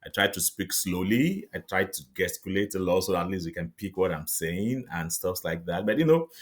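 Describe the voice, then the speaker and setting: A middle-aged Nigerian man whose first language is Yoruba, speaking as an interview guest in a measured, reflective way with light laughs. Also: deep voice, animated. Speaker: guest, psychiatrist and author. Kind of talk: interview conversation.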